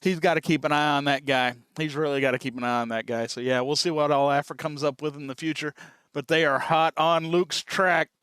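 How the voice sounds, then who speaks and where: A man's voice: a little high, steady tone, brisk, a bit bright, clear, resonant tone, dry recording, clean studio sound. A man hosting a reaction video, giving casual, rambling, informal commentary.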